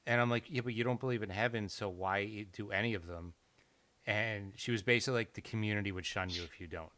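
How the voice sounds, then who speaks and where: Thirties guy, low but bright voice, blunt and skeptical, dry sound. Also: steady tone, fast talker, dry recording. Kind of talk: casual chat.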